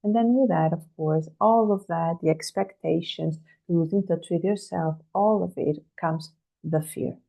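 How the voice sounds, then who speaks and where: Woman coach with a gravelly cold voice. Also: lowish voice, a little slow, dark tone, low-quality recording. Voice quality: husky, gravelly voice. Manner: earnest, rhetorical questions to the audience. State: has a cold, slight cough. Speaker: career coach leading a workshop. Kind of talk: live online workshop talk. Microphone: video call.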